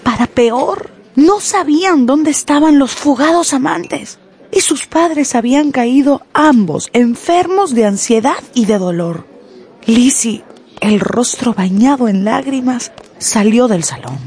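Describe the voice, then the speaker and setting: A woman narrating a radio drama in a scripted, dramatic style, with deliberate, suspenseful phrasing. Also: high voice, expressive, a little mellow.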